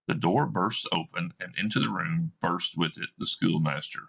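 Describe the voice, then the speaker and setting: A man reading a play's stage directions aloud for a class in a measured, plain delivery. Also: low-pitched, animated, creaky, a bit bright, breathy edge, dull audio. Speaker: teacher reading a play aloud.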